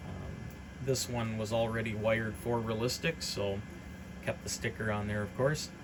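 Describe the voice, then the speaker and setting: A man's voice: lowish voice, fairly even intonation, moderate pace, noisy background. A man giving an informal, unscripted hobbyist product demonstration in a rambling, matter-of-fact way. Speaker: hobbyist radio reseller presenting a demonstration.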